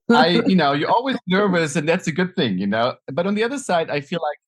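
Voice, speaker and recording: A man's voice: a little high, very animated, fairly quick. German-accented man, thoughtful guest. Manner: thoughtful, many "you know" fillers and restarts. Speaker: guest, nonprofit founder. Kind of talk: conversational interview.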